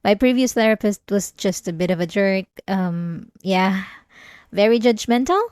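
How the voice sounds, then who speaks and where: A woman's voice: mid-range voice, moderate pace, darkish tone, smooth, dry recording, studio quality, lightly acted. A woman playing an obsessive, manipulative young therapy patient in an ASMR roleplay, speaking politely and hesitantly with nervous little laughs and defensive insistence. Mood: defensive, earnest. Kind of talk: scripted ASMR roleplay acting.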